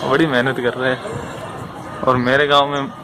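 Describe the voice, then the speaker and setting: A man's voice: medium pitch, level tone, unhurried. A young man, the vlogger's friend, talks casually and in a friendly way to the camera. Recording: outdoor clip with clear street noise.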